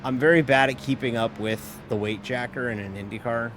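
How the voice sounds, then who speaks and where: Male streamer, casual chat mid-race, loose filler-packed rambling with offhand swearing, mid-pitched. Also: fairly even intonation, brisk, background noise. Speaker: sim racing streamer. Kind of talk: casual live-stream chat while racing.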